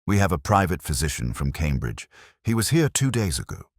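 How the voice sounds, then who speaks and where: A man voicing a crisp, efficient woman, a formal and evasive housekeeper in an audiobook mystery. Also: a bass voice, sing-song, fairly quick, no echo, clean studio sound, exaggerated voice. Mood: guarded, uneasy. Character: crisp, efficient, guarded female housekeeper of indeterminate age.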